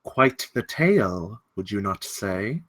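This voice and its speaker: Man acting a menacing, eloquent gentleman villain. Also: fairly low pitch, very animated, exaggerated voice. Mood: courteous menace. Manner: theatrical, deliberate, ornate courtly phrasing. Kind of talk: dramatic table read of a film script.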